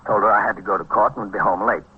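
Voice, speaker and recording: An actor playing a steady, world-weary American police captain who narrates an old radio crime drama in a matter-of-fact, clipped manner. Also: male voice, racing, a little hiss or hum, close to an everyday voice. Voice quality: low, husky voice. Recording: dull, band-limited vintage radio recording.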